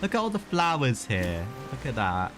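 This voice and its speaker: A man's voice: mid-range voice, huge pitch swings, normal speed. A man giving casual, rambling live commentary as he plays a game, muttering his reactions. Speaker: game streamer. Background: game music.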